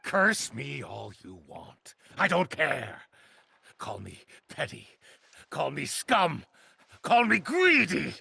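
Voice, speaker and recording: Casting: an aging game master-teacher, slow and grieving, bitter, swinging wildly in pitch. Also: wildly swooping pitch, gravelly, bright, forceful tone, slight background noise, exaggerated voice. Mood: bitter, defiant. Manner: theatrical, impassioned, bitterly rhetorical. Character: aging, grief-stricken master mourning lost disciples, bitterly defiant. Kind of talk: dramatic video game acting.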